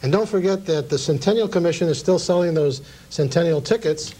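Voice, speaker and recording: A middle-aged man hosts a local cable talk show. His voice sits a little high as he speaks to his guest and viewers. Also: fairly quick, dark tone. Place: small TV studio at a table.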